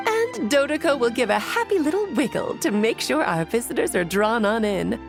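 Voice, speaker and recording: Playful witch, highish swooping voice, breezy, theatrical, teasing, video game dialogue with music. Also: sing-song, moderate pace, gravelly. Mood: upbeat. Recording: music behind the voice.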